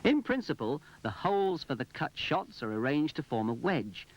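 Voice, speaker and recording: A man's voice: a little high, big pitch swings, normal speed. A man presenting a scripted instructional film as its narrator, in an emphatic, instructive manner. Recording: muffled, noisy 1980s film soundtrack.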